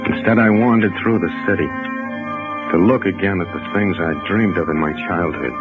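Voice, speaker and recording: An actor playing a meek, weary middle-aged bank messenger in a 1940s radio drama, halting and wistful. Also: male voice, low voice, natural voice. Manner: halting, hesitant, repeats himself. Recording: muffled old radio recording with music.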